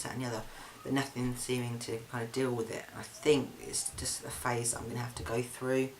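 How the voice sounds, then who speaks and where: A man's voice: mid-range voice, fairly bright tone, a little hiss or hum, poor audio. A young man, talking through a casual video diary update with lots of ums and restarts, recorded indoors at home. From England. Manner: chatty, frequent ums and restarts. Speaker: vlogger. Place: flat, indoors.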